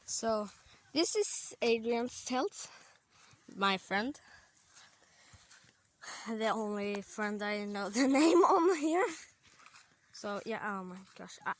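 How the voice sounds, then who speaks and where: A woman's voice: medium pitch, expressive, takes it slowly, pauses often. A woman from Sweden with a Swedish accent, chatting hesitantly through a casual outdoor vlog at a campsite, filming on a handheld camera with lots of fillers. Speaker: vlogger. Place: outdoor campsite among trees.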